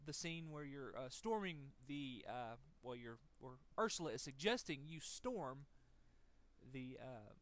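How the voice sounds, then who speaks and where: A man with a mid-range voice records casual commentary for a game video. He speaks off the cuff and haltingly, often repeating a word or hedging while he works out the rest of the sentence. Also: mid-range voice, a bit bright. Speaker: let's play commentator.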